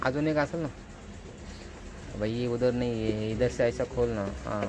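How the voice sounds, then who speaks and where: A man's voice: medium pitch, measured pace, noisy background. A man giving casual, informal vlog commentary, mixing in bits of English and Hindi. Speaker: vlogger host.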